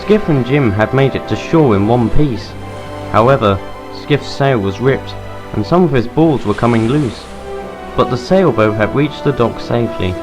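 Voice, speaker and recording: A man's voice: mid-range voice, expressive, normal speed, over music. A man narrating a scripted adventure story for an amateur audio drama.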